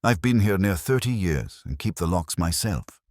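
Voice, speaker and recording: A man's voice: low voice, lively intonation, moderate pace, darkish tone, dry recording, clean studio sound, pitched above the performer's own voice. A man playing a defensive, long-serving church verger in a mystery story, snappish and indignant.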